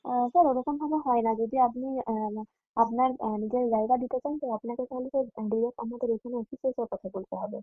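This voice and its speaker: A tinny phone line carries a woman with a fairly high voice. She talks very fast, in the polite, formal way of a customer care agent. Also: no room sound, soft background music. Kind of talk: customer service call. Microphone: telephone.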